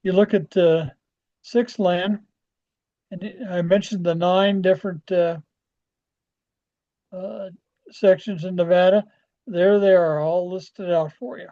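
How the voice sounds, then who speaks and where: An American man giving an informal software walkthrough as a club trainer, halting and often trailing off as he rambles along. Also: sits high, steady tone. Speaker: presenter training radio club members. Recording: dull, lo-fi screen-share audio.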